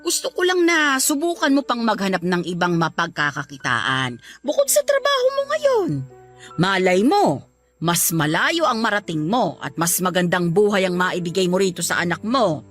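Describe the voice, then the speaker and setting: An old Filipino grandmother in a radio drama. She is blunt but caring, with lively, swooping pitch and a scolding, exclamatory delivery. Faint music runs underneath. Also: moderate pace. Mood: urging, concerned. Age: older. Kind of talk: Tagalog radio drama acting.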